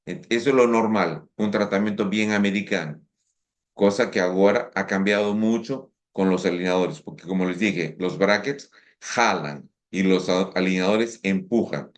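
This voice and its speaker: Low-voiced man lecturing with halting restarts. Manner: halting, frequent restarts and fillers. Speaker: orthodontist lecturer. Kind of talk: conference lecture. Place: conference hall.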